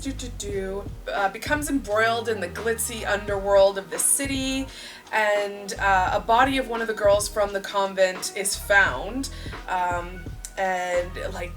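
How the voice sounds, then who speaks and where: A woman book vlogger chatting casually to camera, a little croaky after a cold, self-deprecating and rambling. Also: medium pitch. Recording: distant voice with clear music behind.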